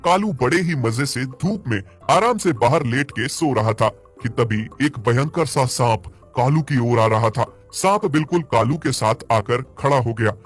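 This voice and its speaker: A man's voice: medium pitch, lively intonation, measured pace, hardly any pauses. A man narrating a scripted animal fable for a cartoon moral story. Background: music.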